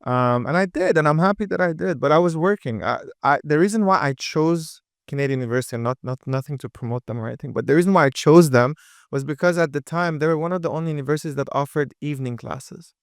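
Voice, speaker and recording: A lively young man from with a crisp voice. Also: fairly high pitch, no room sound, studio quality. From Dubai. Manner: energetic, quick, with many fillers and restarts. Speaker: podcast guest, entrepreneur. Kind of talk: casual interview chat.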